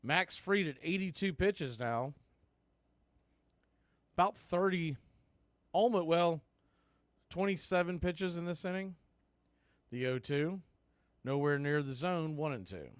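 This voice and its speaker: A man's voice: fairly high pitch, slow, long pauses, smooth, no echo. A man doing conversational, folksy live play-by-play baseball commentary.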